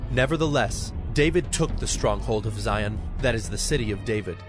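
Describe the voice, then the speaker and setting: A man narrating scripture from a script in a formal, measured storytelling manner. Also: fairly low pitch, lively intonation, music in the background.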